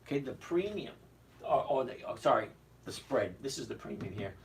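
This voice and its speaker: Hoarse man chatting about stocks. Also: medium pitch, expressive, moderate pace. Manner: chatty, repeats "okay?", answers viewers. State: hoarse, voice cracking. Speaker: stock trading host. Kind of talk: casual livestream market commentary. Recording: distant mic with faint background noise.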